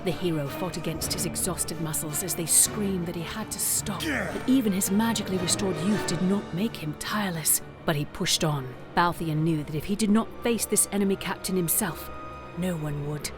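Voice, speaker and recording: Casting: female narrator, lower register, dramatic scripted storytelling over a prominent score. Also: normal speed. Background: dramatic background music.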